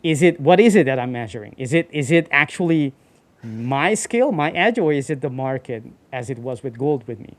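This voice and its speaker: A man talking casually on a trading livestream, explaining in halting phrases full of fillers. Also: medium pitch, animated. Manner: halting, many fillers and restarts while explaining.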